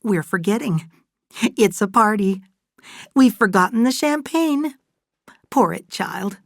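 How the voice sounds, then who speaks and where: A woman's voice: mid-range voice, animated, takes it slowly, creaky, no room sound, clean studio sound, voice pushed up, exaggerated voice. A woman voicing an emotional, doting older mother in a storybook, gushing and insistent as she talks. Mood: merry, coaxing. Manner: gushing, exclamatory. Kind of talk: dramatised audiobook dialogue.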